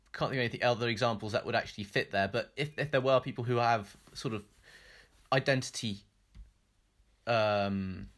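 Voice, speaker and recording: Male British co-host, casual and tentative, mid-pitched, thinks aloud in halting phrases. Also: a bit bright. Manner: hesitant, halting, hedging. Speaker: podcast co-host. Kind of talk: casual news chat.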